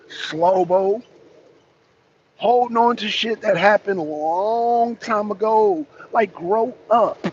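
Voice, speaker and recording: A raspy-voiced man hosting a casual rant to his viewers from his truck, blunt and profane as he calls out rivals. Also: high-pitched, muffled recording, a little hiss or hum. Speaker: host. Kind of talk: casual rant to a live audience. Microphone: phone camera.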